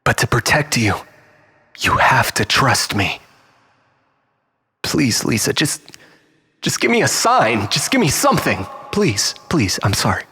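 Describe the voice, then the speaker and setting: A man's voice: medium pitch, wildly swooping pitch, a little slow, pauses often, pushed and bright, breathy edge, big-room sound, exaggerated voice. A man playing a desperate, manipulative, self-pitying lover in a dramatic monologue, stammering and repeating himself as he swings between pleading and bitterness. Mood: tearful pleading.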